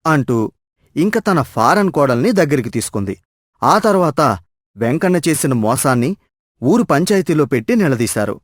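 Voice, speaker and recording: A man's voice: a little high, sing-song, normal speed, dry recording, studio quality. A man narrating a scripted moral story as a storyteller.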